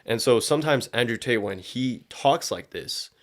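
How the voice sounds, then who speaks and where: A man's voice: mid-range voice, animated, moderate pace. A man hosting a podcast, giving casual, opinionated commentary in slangy language full of profanity. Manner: slangy, profanity-laden speech. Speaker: podcast host.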